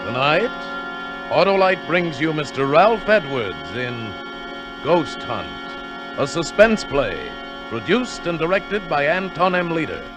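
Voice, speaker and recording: A man's voice: a little high, big pitch swings, slow. A man announcing a 1940s radio program with a suspenseful, portentous introduction. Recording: vintage radio with dramatic music behind.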